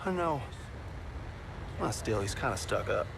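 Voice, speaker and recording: An actor playing a cynical American army officer in a Western game, confiding in a matter-of-fact way. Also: male voice, fairly low pitch, expressive, a little slow. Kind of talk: cinematic game acting. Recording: clear outdoor background noise.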